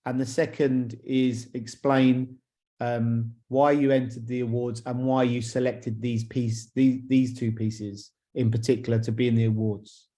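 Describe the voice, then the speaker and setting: A man's voice: medium pitch, flat delivery, measured pace, dark tone. A man acting as interviewer, informally hosting an online conversation in a tentative, conversational way. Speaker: interviewer, awards chair. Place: video call.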